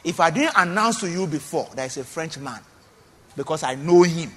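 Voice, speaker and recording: A man's voice: on the high side, lively intonation, normal speed, a bit bright, big-room sound. A man preaching a sermon as pastor in a church, emphatic, often repeating phrases and asking the congregation questions.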